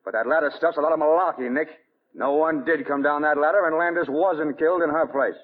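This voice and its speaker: A man's voice: on the high side, a little fast, lightly acted. A man playing a defiant gangster in a 1940s radio crime drama, sneering in tough-guy slang. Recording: tinny, narrow 1940s radio recording.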